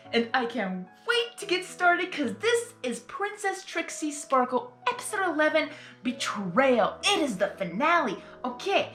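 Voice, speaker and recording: Animated, higher-voiced woman hosting reactions. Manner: excitable, apologetic, rambling and repetitive. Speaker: reaction video host. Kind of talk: casual reaction commentary. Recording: roomy echo, music behind.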